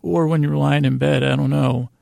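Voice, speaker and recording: A man hosting a podcast with a meandering, halting, self-deprecating personal monologue that trails off mid-sentence, in a gravelly voice. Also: fairly high pitch, steady tone, warm tone, no echo, studio quality. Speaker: podcast host. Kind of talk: rambling personal storytelling monologue.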